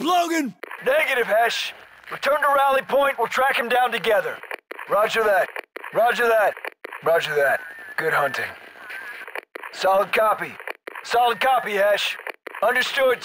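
Gruff soldier, high forceful urgent voice. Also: male voice, a little hiss or hum, rough recording. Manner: clipped, urgent, barked radio orders. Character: gruff, commanding male special forces soldier, middle-aged. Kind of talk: military shooter game voice acting.

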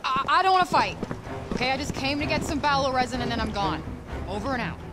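A woman's voice: high voice, fast talker, music in the background, natural voice. An actress playing a sardonic young New Yorker woman in a video game, with an American accent and blunt, slangy delivery. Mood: flustered. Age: young adult. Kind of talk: video game cutscene acting.

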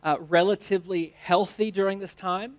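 A man's voice: sits high, fairly even intonation, normal speed. American man preaching in short phrases. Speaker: teaching pastor. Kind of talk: sermon in short phrases for live interpretation. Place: church stage, indoors.

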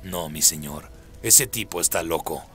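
A man voicing a demon servant who reports to his master in a deferential, measured way in a radio play. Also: sits low, fairly bright tone, faint music behind.

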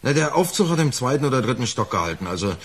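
An actor playing a defensive working-class lift fitter in a radio crime play, talking colloquially and haltingly. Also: male voice, mid-range voice, sing-song, husky. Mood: explaining, uneasy. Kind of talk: naturalistic German radio drama acting.

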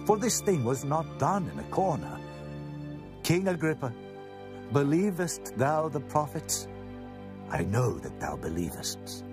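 A man's voice: a little high, sing-song, a little slow, long pauses. A man playing an earnest, impassioned prisoner defending himself in a solemn, declamatory scripture drama. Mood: fervent, respectful. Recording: prominent music bed under voice.

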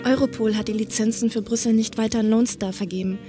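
A woman's voice: fairly high pitch, fairly even intonation, quick pace, over music, natural voice. A woman playing a polite, direct newcomer to a police unit in a German audio drama.

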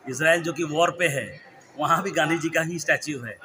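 A man's voice: a little high, moderate pace, crowd noise. A man in his forties gives an interview into a handheld mic at an indoor town hall event, talking earnestly and at length, with English phrases mixed in. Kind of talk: news interview. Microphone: handheld reporter mic. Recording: clear hall ambience behind.

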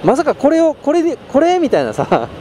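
A man's voice: extremely high pitch, wildly swooping pitch, brisk. A man talking casually and at rambling length over a helmet intercom while riding a motorbike. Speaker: motovlogger. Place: outdoors on a motorbike on a night expressway. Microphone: helmet intercom headset. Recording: loud motorbike road and engine noise.

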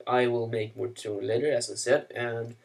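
A man who grew up speaking Swedish, walking through software steps in a loose, self-mocking way with plenty of fillers. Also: mid-range voice, steady tone, brisk, vocal fry, distant mic. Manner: rambling, self-mocking asides, many "uh" and "you know". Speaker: software tutorial maker. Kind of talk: casual screen-recorded software tutorial.

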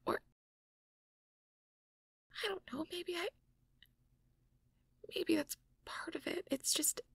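A woman playing a shy, anxious young mage girlfriend in an intimate audio roleplay, hesitant and stammering in a breathy whisper. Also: extremely high pitch, slow pace, no room sound, full character voice. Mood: self-conscious, vulnerable. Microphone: close microphone, dry.

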